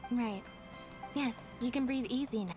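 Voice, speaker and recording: A woman playing a composed young magician's assistant in a video game, deliberate and coolly confrontational. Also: on the high side, lightly acted. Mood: cool, accusing. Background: game music.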